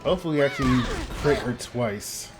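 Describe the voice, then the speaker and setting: A man's voice: medium pitch, lively intonation, brisk, music in the background. A man giving casual, rambling commentary on a game, reacting aloud as it goes. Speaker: gaming video host.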